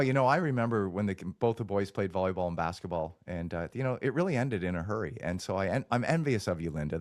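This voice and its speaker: A man's voice: lowish voice, no room sound, clean studio sound. A man hosting a podcast, chatting in a candid, self-deprecating way with his guests. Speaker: podcast host. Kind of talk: casual interview chat.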